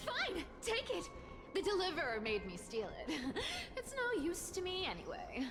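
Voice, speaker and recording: A mischievous female cat thief from a video game. She is high-voiced and takes her time, drawing out her mocking lines with huge swings in pitch. Her delivery is theatrical and teasing. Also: huge pitch swings, measured pace, exaggerated voice. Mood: feigned panic, then wheedling, playful. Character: playful, taunting, sly female cat thief on the run. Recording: game music behind the voice.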